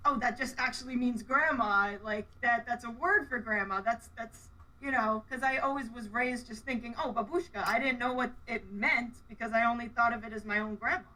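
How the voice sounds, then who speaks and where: A woman whose first languages were Polish and Russian, chatting earnestly about her work as a cosplayer. Her voice sits a little high and sounds bright and clear, though she is heard from some distance from the mic. She often trips and restarts mid-sentence. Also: a little fast, few pauses. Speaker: cosplayer guest. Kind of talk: casual interview chat.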